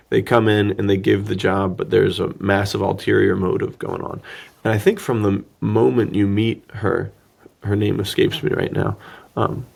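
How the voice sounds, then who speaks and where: A man's voice: on the low side, gravelly, a little mellow. A man co-hosting a podcast, chatting casually and jokingly about film in an informal way. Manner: informal, joking, prone to chuckling. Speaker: podcast co-host.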